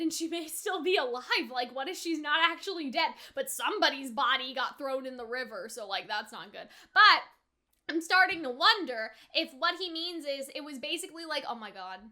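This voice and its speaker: Female reaction host, very high and bright voice, chatty and slangy, casual commentary. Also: normal speed. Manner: chatty, animated retellings with slangy asides.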